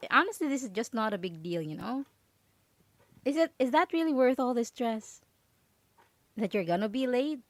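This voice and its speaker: Woman voicing a yandere female roommate, falsely sweet, possessive, coaxing, halting, nervously innocent, ASMR roleplay, dry sound. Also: fairly high pitch, no echo. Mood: falsely soothing. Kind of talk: ASMR roleplay audio drama.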